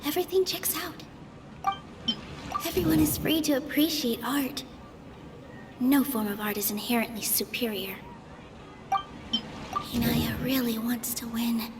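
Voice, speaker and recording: Actress as young woman game character, high voice, gentle, resolute, earnest, articulate. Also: a little slow, long pauses, natural voice. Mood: thoughtful, nervous. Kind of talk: video game voice acting, debate argument.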